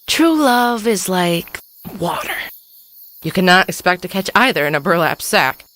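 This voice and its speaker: Woman playing babbling mad mother, cryptic, erratic, theatrical, animated swooping pitch, audio drama, slight background noise. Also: unhurried. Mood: loony, cryptic. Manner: rambling non sequiturs, theatrical delivery. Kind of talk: stage-style audio drama acting.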